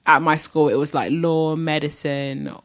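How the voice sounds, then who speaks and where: A woman's voice: deep voice, fairly even intonation, a little slow. A young woman from South London, a podcast guest chatting easily about her life. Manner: easygoing, many fillers, says "like" often. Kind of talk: casual interview chat.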